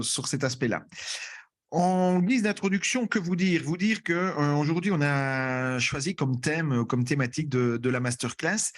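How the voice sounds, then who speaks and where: A man hosting an online masterclass over a video call, speaking haltingly with many fillers and restarts. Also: a little high. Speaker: webinar host.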